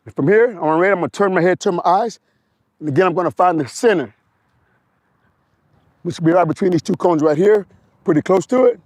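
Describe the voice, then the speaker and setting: A man with a hoarse voice, a motorcycle instructor coaching a slow-speed riding exercise step by step, repeating short cues, recorded outdoors on a wireless mic. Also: high-pitched, darkish tone, dry recording.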